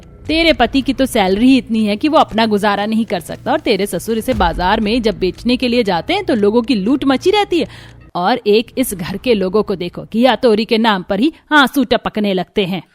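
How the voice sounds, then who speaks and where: A woman's voice: medium pitch, animated, normal speed, lightly acted. Woman playing scolding, thrifty mother-in-law. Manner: scolding, insistent. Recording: music under the voice.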